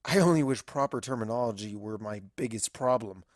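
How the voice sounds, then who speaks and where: A woman's voice: rumbling low, a little fast, no echo. Woman as anxious, wry cartoon pony princess, close-miked. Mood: flustered, overwhelmed. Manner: wry, fretful, dramatic first-person storytelling. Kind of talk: fan fiction audio reading in character.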